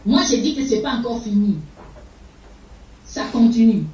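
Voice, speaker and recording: A woman's voice: fairly high pitch, normal speed, dark tone. Fiery older woman, echoey and distant. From Congo. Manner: fiery, repetitive, stumbles over repeated words. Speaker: political activist. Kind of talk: impassioned interview answers. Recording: echoey, distant, dull sound.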